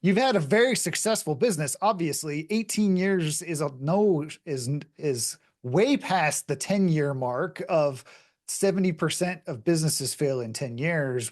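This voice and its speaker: A man's voice: a little high, darkish tone. A man hosting a business podcast, introducing a guest and asking questions in an upbeat, emphatic manner. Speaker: podcast host. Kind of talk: interview hosting.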